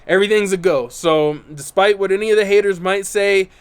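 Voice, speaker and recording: A man's voice: sits high, a little fast, clear, resonant tone. A man hosting his own channel, talking casually to the camera outdoors, sounding stuffy and congested, and often checking in with "right?" and "okay?". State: stuffy, congested from burnt lungs. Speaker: YouTube channel host. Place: outdoors in bright sun.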